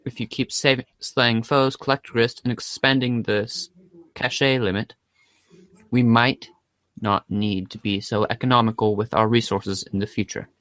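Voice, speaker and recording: A man voicing exasperated, sarcastic young chat friends in an animated amateur reading. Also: mid-range voice, moderate pace, no echo, natural voice. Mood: matter-of-fact, instructing. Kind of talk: amateur readers' theater.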